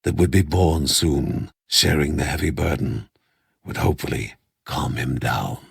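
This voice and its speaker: A man playing a solemn storyteller, narrating a fantasy game like a storybook legend in measured, scripted phrases. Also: very deep voice, creaky, husky, dry recording, studio quality, exaggerated voice. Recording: music behind the voice. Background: music.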